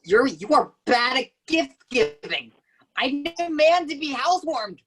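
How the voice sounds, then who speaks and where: A woman's voice: high voice, sing-song, measured pace. A woman reading a character's dialogue expressively at a script table read.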